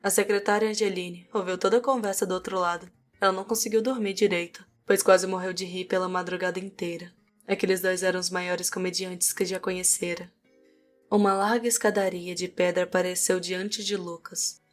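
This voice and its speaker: Low-voiced Brazilian woman narrating over music. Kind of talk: scripted audiobook narration of a fantasy story. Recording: music behind the voice.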